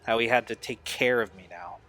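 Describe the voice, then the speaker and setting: An actor playing a troubled young man in an audio drama, speaking in an earnest, halting, confessional way. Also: mid-range voice, sharp tone, faint music behind, close to an everyday voice. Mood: bitter, anguished. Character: Steve, troubled young man recalling a painful family past.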